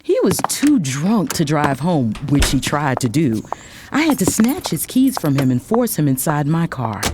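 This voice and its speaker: A woman playing a hurt adult daughter who narrates her family's rift in a measured first-person audio drama. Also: low-pitched, sing-song, noisy background. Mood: tense, recounting.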